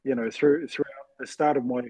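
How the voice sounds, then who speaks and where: Husky Kiwi-man, relaxed storytelling. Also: mid-range voice, a little fast, dry recording. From New Zealand, later Western Sydney, Australia. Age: 40s. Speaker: interview guest, former rugby league player. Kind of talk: casual personal storytelling.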